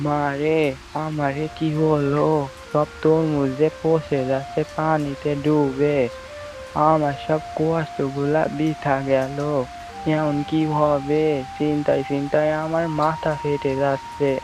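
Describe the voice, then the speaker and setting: A man's voice: fairly high pitch, flat delivery, slow pace, over music. A man playing a poor village farmer in a cartoon, a humble, anxious father with a plaintive manner. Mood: despairing. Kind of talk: Bengali cartoon voice acting.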